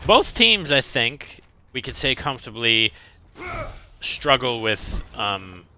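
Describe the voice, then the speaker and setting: A man who is not a native English speaker, giving relaxed, rambling analysis of an esports match as a caster. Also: mid-range voice, slow pace, slight background noise, rough recording. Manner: relaxed analysis with frequent fillers and repeats. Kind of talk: analysis commentary.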